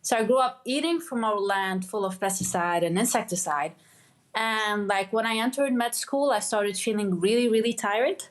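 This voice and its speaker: Brief: young woman from Lebanon, guest on a podcast, mid-pitched, halting and full of fillers. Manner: halting, many restarts and "like" fillers. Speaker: guest, medical doctor. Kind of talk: conversational interview.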